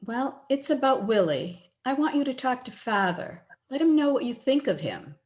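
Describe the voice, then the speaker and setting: Actress as headstrong young girl in love, highish voice, earnest, petulant, old-time radio drama. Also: very animated, moderate pace, darkish tone. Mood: earnest, pleading. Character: headstrong, lovestruck young daughter. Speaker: actor in an amateur radio play. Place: at home, recorded over video call.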